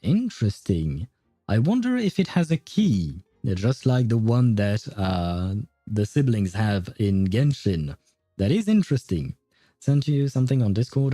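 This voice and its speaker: Dark, mellow-voiced man livestreaming. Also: mid-range voice. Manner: thinking aloud, informal, mild swearing. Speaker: livestreamer playing a story game. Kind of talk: casual livestream commentary, reacting and theorizing. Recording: roomy echo, faint music behind. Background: faint game music.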